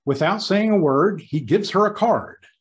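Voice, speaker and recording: A man's voice: high-pitched, animated, measured pace. A man narrating an old-time radio drama in a grand, theatrical, scripted manner.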